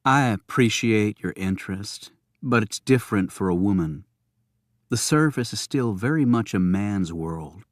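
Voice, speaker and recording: A man's voice: fairly low pitch, animated, measured pace, dry recording. A prickly female rookie agent from a thriller audiobook, as a man reads her. She is defiant and on the defensive. The recording is dry and free of room sound.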